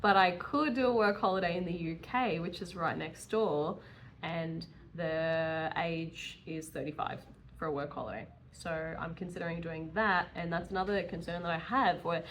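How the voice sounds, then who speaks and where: A woman's voice: fairly low pitch, moderate pace, a bit bright, slight background noise, poor audio. A woman in her early thirties talking casually and candidly in a rambling, chatty vlog style, with self-deprecating asides. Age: early 30s. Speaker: vlogger, self-employed dog groomer.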